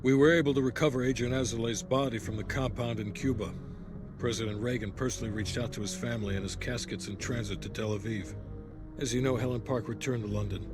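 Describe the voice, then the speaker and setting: A man's voice: mid-range voice, steady tone, quick pace, natural voice. Serious intelligence officer, male actor, mid-range, matter-of-fact and composed, briefing in a game cutscene with score behind. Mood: sober. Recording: cinematic music behind.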